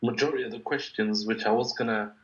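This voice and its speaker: A low-voiced man whose first language is Bengali hosts a relaxed interview. He rambles and fills gaps with "you know" and "uh". The recording of his voice is dull and lo-fi. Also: quick pace. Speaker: podcast host, interviewer. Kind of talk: casual interview chat. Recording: dull lo-fi sound.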